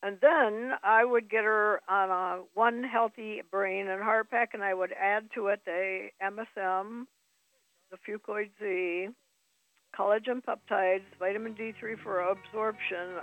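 A man advising on a radio show, listing supplements in a steady run. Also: high voice, measured pace, no echo, narrow, tinny audio, faint music behind.

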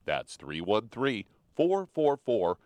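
A man reading scripted radio announcements and ad copy in a smooth, emphatic announcer manner. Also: lowish voice, huge pitch swings, takes it slowly, darkish tone, no room sound. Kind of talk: scripted ad read.

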